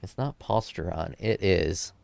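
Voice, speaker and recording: A man's voice: low-pitched, a little slow, gravelly, darkish tone. A man streaming a game from his home desk, chatting casually and reading chat messages aloud, a little drunk. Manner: laid-back, rambling, joking. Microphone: desk mic in front of keyboard.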